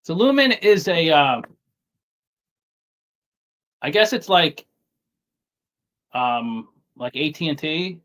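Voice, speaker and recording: A man from New York City with a softened New York accent, talking casually as an interview guest, halting and often repeating words as he restarts. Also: on the high side, very animated. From Staten Island, New York City. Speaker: guest investor.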